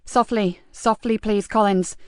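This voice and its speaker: A woman voicing a female police inspector, forceful and impatient. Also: medium pitch, steady tone, measured pace, no room sound, clean studio sound, close to an everyday voice. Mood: urgent.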